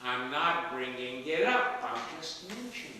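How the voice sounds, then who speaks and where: A man's voice: medium pitch, very animated, unhurried, bright, forceful tone, full character voice. An actor playing a spry, stubborn ninety-seven-year-old father in a stage play, defensive and dryly joking. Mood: wry. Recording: echoey stage hall from far off, clicks.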